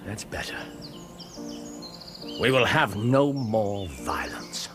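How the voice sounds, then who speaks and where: An actor playing a sharp, cool-headed detective who speaks with brisk authority in a radio drama. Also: male voice, on the low side, expressive, music in the background. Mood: stern. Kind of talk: BBC radio drama acting.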